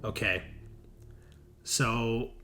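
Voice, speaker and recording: A man's voice: mid-range voice, steady tone, extremely slow, bright, forceful tone, faint music behind. A man narrating a tabletop roleplaying game as game master in a casual, conversational way.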